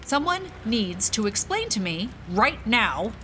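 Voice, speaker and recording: An actress playing an impatient aristocratic sister who speaks in a direct, insistent, demanding way in an audio drama. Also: female voice, fairly high pitch, very animated, unhurried, fairly bright tone, a little hiss or hum. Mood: indignant, demanding.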